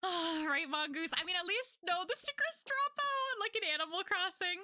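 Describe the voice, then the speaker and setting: A young woman streamer chatting casually to her viewers, full of "like" fillers and quick laughs, her voice getting worn out. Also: very high voice, lively intonation, pushed and bright. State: losing her voice. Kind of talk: casual chat with live chat.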